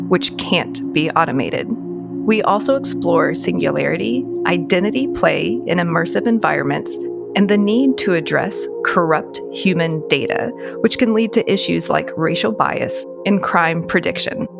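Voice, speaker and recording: A woman's voice: fairly low pitch, creaky. A woman hosting a podcast, enthusiastic and upbeat as she introduces her guest. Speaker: podcast host. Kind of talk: scripted intro then casual interview.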